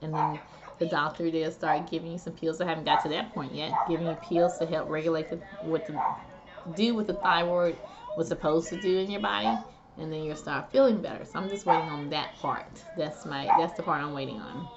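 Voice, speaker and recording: A chatty woman vlogging from home, talking casually to her viewers and calling them guys, her voice on the low side for a woman. She is still recovering after neck surgery and her voice is getting its strength back. The recording is dull and lo-fi, with clear noise in the background. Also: fairly even intonation, a little fast. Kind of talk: casual talk to camera.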